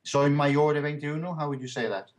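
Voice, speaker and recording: A man teaching an English lesson one-to-one, explaining grammar clearly and checking understanding with "okay?". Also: a little high, fairly quick. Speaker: English tutor. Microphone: call microphone.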